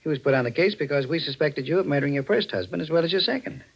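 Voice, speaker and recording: An actor playing a stern, shrewd district attorney with measured, accusing delivery in 1940s radio style. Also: male voice, a little high, lively intonation, creaky, lo-fi sound. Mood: grave revelation.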